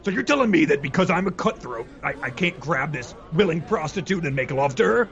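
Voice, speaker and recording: A man's voice: fairly high pitch, lively intonation, fairly quick, creaky, fairly bright tone, lo-fi sound, pitched above the performer's own voice. A man voicing an indignant, argumentative cutthroat in a fantasy tavern sketch, incredulous and quibbling.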